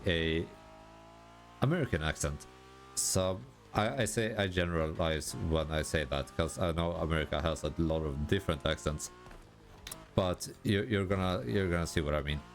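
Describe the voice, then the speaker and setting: Low-voiced Swedish man chatting over gameplay. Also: a little hiss or hum. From Sweden. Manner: rambling, halting, self-correcting, laughs at himself. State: tired. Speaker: gaming YouTuber. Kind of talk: casual commentary while gaming.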